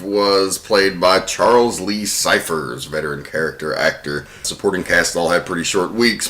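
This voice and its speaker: A man's voice: deep voice, pushed and bright. A man hosting a podcast, presenting film trivia in an informal way. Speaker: podcast host.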